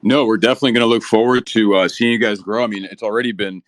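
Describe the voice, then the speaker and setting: A man with a lowish voice hosts an online talk, rambling upbeat through fillers and restarts, a touch nervous. He was born in Ukraine and grew up speaking Russian. The sound is dry and close, with no room in it. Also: steady tone, very fast, no echo. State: a little nervous. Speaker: host of a crypto talk space. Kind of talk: casual live audio chat.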